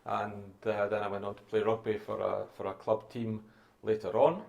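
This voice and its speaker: A middle-aged Scottish man, a guest answering interview questions in a measured, courteous way with some restarts. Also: on the low side, little pitch movement, gravelly, darkish tone, highs cut off. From Scotland. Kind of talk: relaxed conversational interview.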